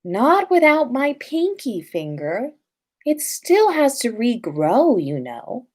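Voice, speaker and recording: A woman's voice: a little high, huge pitch swings, takes it slowly, pitched above the performer's own voice, heavily acted. A woman voicing an odd, dreamy young witch schoolgirl in a story, serene and unfazed. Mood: serene, matter-of-fact. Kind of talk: storybook character voice.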